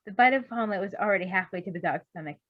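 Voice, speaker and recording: A woman reading a story aloud in an expressive, amateur storytelling style. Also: medium pitch, very fast. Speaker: fan fiction reader. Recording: dull lo-fi recording.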